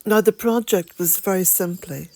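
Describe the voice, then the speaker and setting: An Irish woman narrating a gentle garden vlog in a soft, reflective way, sometimes dropping almost to a whisper. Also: fairly low pitch, normal speed. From Ireland. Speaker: vlogger. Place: outdoors in a woodland garden, birds nearby.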